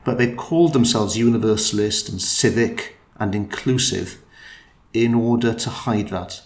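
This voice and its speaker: A man in a conversational discussion, thinking aloud with frequent restarts and fillers. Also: on the low side. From Wales. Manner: thoughtful, halting, many restarts and fillers. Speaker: interviewee.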